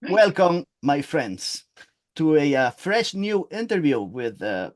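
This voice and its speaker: A man's voice: fairly high pitch, big pitch swings, slow, no echo, clean studio sound. Hesitant male interview host. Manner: hesitant, many fillers. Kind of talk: casual interview.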